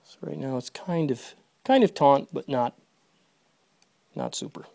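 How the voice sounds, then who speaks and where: Gravelly man muttering through a barn job. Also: medium pitch, lively intonation, unhurried, dark tone, no room sound. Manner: muttering to himself and his horses as he works. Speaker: video creator demonstrating fence work. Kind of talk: casual running commentary while working. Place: horse barn stall. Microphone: phone camera held close, first-person view.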